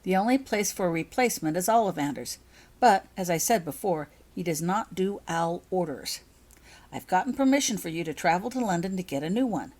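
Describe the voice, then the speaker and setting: A woman's voice: lowish voice, expressive, a little fast, darkish tone. A bossy, practical older schoolgirl, read by a woman narrator in a storybook. She explains things firmly, with emphatic rises and falls. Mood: explaining. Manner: instructive, firm. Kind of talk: storybook character voice.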